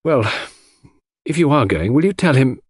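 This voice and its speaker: A man voicing a nervous, hesitant young English gentleman with a halting, secretive manner in an audiobook. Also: mid-range voice, lively intonation, husky, dry recording, clean studio sound, voice pushed up.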